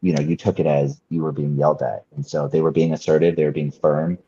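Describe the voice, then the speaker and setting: A man's voice: a bass voice, little pitch movement, quick pace, dark tone, breathy edge, no room sound, highs cut off. A male nurse on a panel, talking thoughtfully and hedging with fillers. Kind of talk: casual panel chat.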